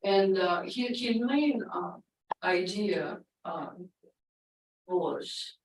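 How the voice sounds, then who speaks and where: A woman's voice: medium pitch, very slow, distant mic, a little hiss or hum. A woman whose first language is Russian, a poet and psychoanalyst, commenting from the audience at a lecture and talking hesitantly with many fillers. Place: lecture room. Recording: roomy hall, voice from off mic.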